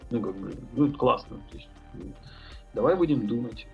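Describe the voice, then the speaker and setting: A man's voice: medium pitch, expressive, brisk, gravelly, lo-fi sound, soft background music. A man talking as a podcast guest, thinking aloud about his tattoo and painting work, rambling with many fillers and hesitations. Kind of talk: casual podcast conversation.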